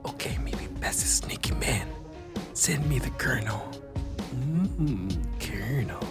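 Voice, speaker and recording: A man's voice: mid-range voice, animated, takes it slowly, creaky. A man playing an injured, delirious fugitive in a sci-fi audio drama, rambling in babyish broken phrases and silly rhymes. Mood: dazed, loopy. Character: injured, delirious man, loopy and playful, on the run. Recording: voice under loud music.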